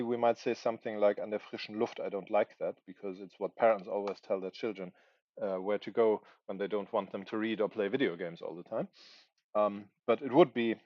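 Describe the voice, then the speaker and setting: A man's voice: on the low side, no room sound, highs cut off. A man in his forties whose first language is German, thinking aloud as he writes on a livestream, hesitant and searching for words. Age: 40s. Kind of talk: thinking aloud while writing live.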